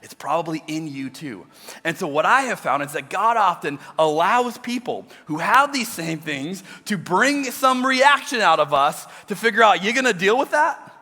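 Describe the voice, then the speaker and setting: A middle-aged male pastor with a loud voice preaching a sermon, swinging from loud emphasis to quiet, intimate asides. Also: high-pitched, very animated, normal speed. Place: large church auditorium. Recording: reverberant hall with faint music behind.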